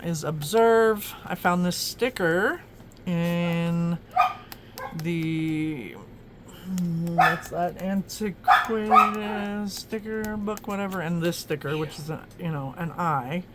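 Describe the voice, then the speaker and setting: A woman's voice: lowish voice, very slow, heavy background noise. A woman from Oklahoma chatting casually as she flips through her journal, rambling with lots of ums and restarts. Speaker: journaling vlogger.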